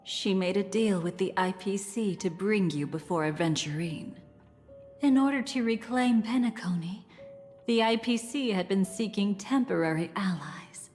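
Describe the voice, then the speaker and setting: A woman playing a poised, enigmatic game character with a measured, theatrical, teasing delivery and quiet menace. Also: mid-range voice, long reverb tail, faint music behind, close to an everyday voice. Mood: solemn storytelling. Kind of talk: dramatic video game narration and dialogue.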